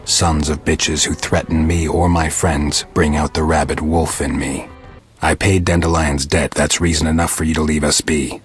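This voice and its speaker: A man voicing a gruff, weary monster hunter in a video game, terse and deadpan, with a raspy growl. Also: very deep voice, normal speed, soft background music. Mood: threatening.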